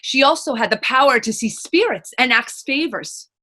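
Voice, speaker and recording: Woman acting a high, defiant witch. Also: expressive, normal speed, pushed and bright, exaggerated voice. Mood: proud. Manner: proud, taunting, folksy dialect. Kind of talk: stage readers theater acting.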